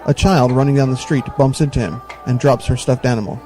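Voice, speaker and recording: A man's voice: mid-range voice, fairly quick, darkish tone. Man narrating audio drama over music. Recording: music bed behind voice.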